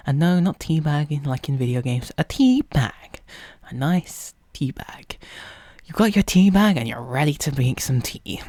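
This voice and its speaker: A young British man giving a playful ASMR roleplay tutorial straight to the listener, mock-instructive and slipping at times into breathy whispers. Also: a little high, darkish tone, no room sound. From United Kingdom. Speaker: ASMR roleplay creator and host.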